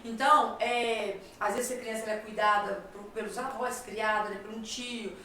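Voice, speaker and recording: A woman's voice: fairly high pitch, steady tone, normal speed, bright, forceful tone, a little hiss or hum. A Brazilian woman hosting a talk show, speaking emphatically and asking rhetorical questions. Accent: Brazilian Portuguese. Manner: emphatic, repeats phrases, asks rhetorical questions. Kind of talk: conversational advice talk. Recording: echoey room, voice from far off.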